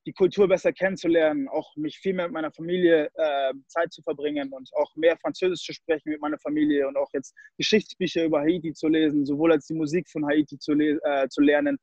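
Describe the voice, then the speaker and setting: A man's voice: fairly high pitch, flat delivery, fast, hardly any pauses, a little mellow, no room sound, muffled recording. A young man from Germany, a guest in a casual interview, who slips English phrases into his German. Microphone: call recording.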